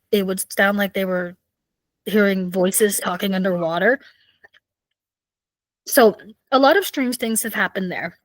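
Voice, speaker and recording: Woman, raspy, thirties, podcast host, rambling chatty storytelling, mid pitch. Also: a bit bright, dry recording. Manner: chatty, rambling, with many fillers. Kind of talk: casual solo storytelling.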